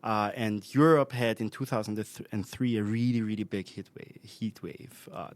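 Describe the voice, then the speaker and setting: A man with an Austrian accent talks as an invited expert in a relaxed interview. He speaks at a middling pitch and pace, pausing often with fillers and correcting himself as he works through his points. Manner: thoughtful, with many fillers and restarts. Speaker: guest expert. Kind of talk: conversational podcast interview.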